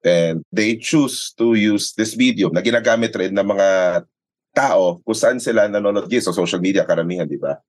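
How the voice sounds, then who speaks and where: Low-voiced Filipino man in conversation. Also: fairly quick. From Philippines. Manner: often seeks agreement with short tag questions. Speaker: sports announcer and radio commentator, guest. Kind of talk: conversational interview talk in mixed English and Tagalog.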